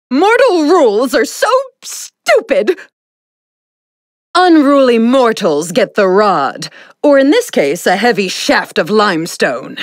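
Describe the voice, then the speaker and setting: High, haughty sun goddess. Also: female voice, dry recording, clean studio sound. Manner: pompous, condescending, theatrical. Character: haughty, vain young sun goddess, imperious yet playful. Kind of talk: video game character voice lines.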